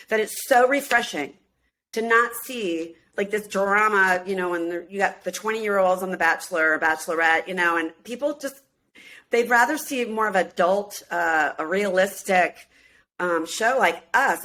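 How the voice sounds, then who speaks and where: A woman's voice: on the low side, a bit bright, low-quality recording. A woman in her fifties, originally from Minneapolis, chatting as a guest in an interview, laughing often and acting out quotes. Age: 50s. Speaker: guest, singer and reality show cast member. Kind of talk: casual interview chat.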